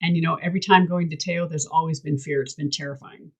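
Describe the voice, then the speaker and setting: Woman, host, husky voice, blunt, emphatic, swears casually. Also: low voice, fairly even intonation, fast, a little mellow, rough recording. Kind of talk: candid casual chat.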